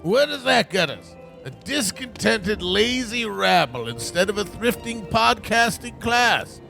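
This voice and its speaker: A man voicing a pompous, sneering old miserly businessman villain with a gravelly voice in a comedic radio play. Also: sits high, very animated, measured pace, pushed and bright, full character voice. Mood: scornful. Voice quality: creaky, gravelly. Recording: music behind the voice.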